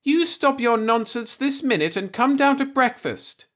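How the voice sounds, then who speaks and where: A man's voice: very high-pitched, animated, measured pace, a bit bright, pitched above the performer's own voice, full character voice. A man voicing a bossy older landlady who scolds and commands in a motherly way, in an audiobook story. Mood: scolding, insistent.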